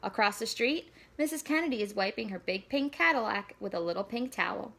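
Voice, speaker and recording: A woman reading a children's storybook aloud in an animated, deliberate storyteller delivery. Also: medium pitch, gravelly, slightly rough. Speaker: school staff member reading aloud.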